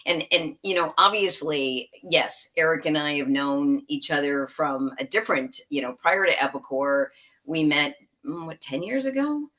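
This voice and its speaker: Female interview guest, low voice, loose and chatty. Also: animated, normal speed, bright, forceful tone. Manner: chatty, laughing, rambling with asides. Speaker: guest, software company executive. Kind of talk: conversational interview.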